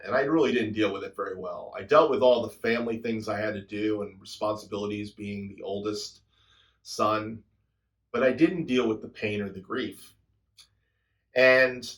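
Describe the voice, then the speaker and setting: A man in his sixties speaks to his viewers in a reflective, introspective way, unhurried and personal. His voice sits a little low, and he lets many phrases fade off softly. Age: 60s. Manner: introspective storytelling, soft trailing endings. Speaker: drummer and channel host. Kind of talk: reflective personal talk to camera.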